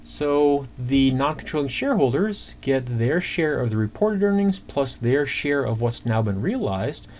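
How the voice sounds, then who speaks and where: Man, accounting instructor, informal screencast lesson, conversational, halting, step-by-step, mid-pitched voice, background noise with keyboard typing. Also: lively intonation, darkish tone. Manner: conversational, halting, talks through figures step by step. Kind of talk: informal screencast lesson, explaining worked calculations. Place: indoors at a computer.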